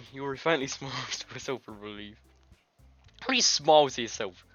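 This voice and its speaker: A British man plays through a game, reading the text in a bright, very animated voice. He is jokey and trips over words as he goes, with music playing behind him. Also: medium pitch, normal speed, lo-fi sound. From Britain. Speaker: gaming video host. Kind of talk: game playthrough, reading dialogue aloud with crude joking asides.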